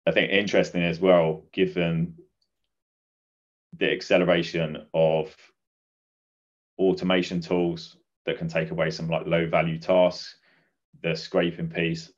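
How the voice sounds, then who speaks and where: A middle-aged man answering as a podcast guest, explaining things in a measured, conversational way. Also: low-pitched. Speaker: guest, recruitment business advisor. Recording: dull, muffled lo-fi audio.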